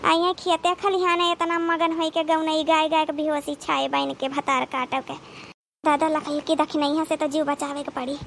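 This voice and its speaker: A dramatic elder sister-in-law in a cartoon, extremely high with a gravelly creak. She scolds and laments with loud exclamations in an Awadhi accent, over faint background noise. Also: flat delivery, moderate pace. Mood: alarmed scolding. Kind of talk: cartoon comedy dialogue.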